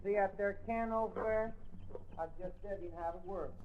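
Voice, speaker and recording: Guy acting as gullible southern farm husband, high earnest drawl, tinny old radio sound. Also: steady tone, slight background noise, close to an everyday voice. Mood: apologetic. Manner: earnest, slow-witted, polite, folksy. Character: gullible, apologetic rural Arkansas worm farmer, a husband. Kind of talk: 1950s radio drama acting.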